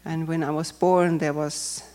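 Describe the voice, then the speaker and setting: A woman from Finland with a Finnish accent, speaking English as a second language and giving a personal testimony in a careful, hesitant way with frequent pauses. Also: deep voice, level tone, dark tone. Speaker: church member giving testimony.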